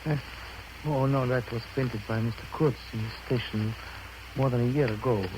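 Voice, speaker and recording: Male actor as resentful assistant manager, knowing and pointed, mid-pitched, 1930s radio drama with clear noise. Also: steady tone, normal speed, lightly acted. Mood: sardonic reverence. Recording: noisy old 1930s radio broadcast recording.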